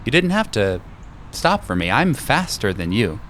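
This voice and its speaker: A man's voice: lowish voice, very animated, normal speed, fairly bright tone, a little hiss or hum. A man playing a man in his late twenties, a patient but wounded lover, in an audio drama. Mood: playful.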